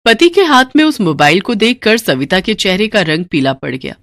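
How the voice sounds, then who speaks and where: Animated woman storyteller, very dry sound. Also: mid-range voice, moderate pace, no room sound. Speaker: story narrator. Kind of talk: scripted dramatic storytelling narration.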